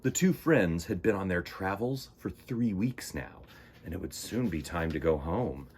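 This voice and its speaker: A man's voice: sits low, animated, a little slow, darkish tone, slight background noise. A man reading a picture book to children as a storytime host, with theatrical, dramatic emphasis.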